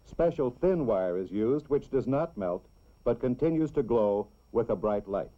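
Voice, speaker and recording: A man narrates a scripted educational film for children in a measured, clearly explanatory way. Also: mid-range voice, lively intonation. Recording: dull, lo-fi old film soundtrack.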